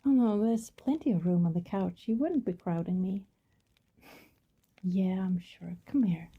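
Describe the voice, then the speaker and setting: Breathy, creaky female vampire neighbor acted by a woman, coy and coaxing, unhurried ASMR roleplay. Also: medium pitch, lo-fi sound. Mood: playful, inviting. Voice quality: breathy, often dropping to a whisper. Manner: gentle, hesitant, coaxing. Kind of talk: intimate ASMR roleplay, soft and conversational.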